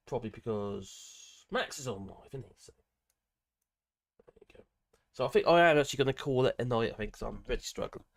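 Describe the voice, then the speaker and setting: An older man with grandchildren, hosting a casual live stream, hoarse from a cold and chatting in a rambling way with many fillers and restarts. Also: fairly low pitch. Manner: rambling, chatty, with frequent fillers and self-corrections.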